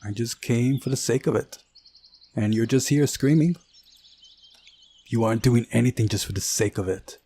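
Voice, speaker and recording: A man's voice: medium pitch, moderate pace, pauses often, warm tone, slightly rough. A man voicing a brash, prickly teenage girl in a visual novel, blunt and defiant. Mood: accusing. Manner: blunt, snappy.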